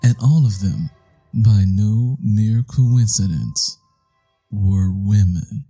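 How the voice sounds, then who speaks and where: A man reading a suspense story as a narrator, in a deliberate, measured and ominous way. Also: lowish voice, dark tone, husky, faint music behind. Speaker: audiobook narrator. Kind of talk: dramatic scripted suspense narration.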